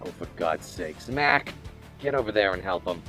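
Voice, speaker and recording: A smug, cruel crime boss, played by a man in an audio drama. His voice swings widely in pitch as he mocks and needles, with music playing clearly behind him. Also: expressive, normal speed. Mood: commanding. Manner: mocking, drawling rhetorical questions. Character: taunting male gang henchman boss, smug and cruel.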